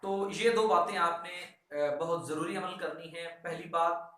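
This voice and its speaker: Pakistani male lecturer, high bright voice, emphatic, repetitive instruction, English terms mixed in, distant in a heavily echoing room. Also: fairly even intonation, a little slow. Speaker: law lecturer. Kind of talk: instructional lecture for exam students. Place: echoey room with a whiteboard. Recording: very echoey room, distant mic.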